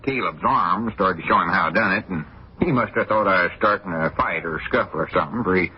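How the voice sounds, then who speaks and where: An actor playing a boastful, blustering small-town old-timer with a rural Arkansas accent, folksy and evasive in 1940s radio comedy style. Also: male voice, sits low, quick pace, slightly rough. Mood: sheepish bragging. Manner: folksy, evasive, self-important, backtracking. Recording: muffled, dull 1940s radio recording.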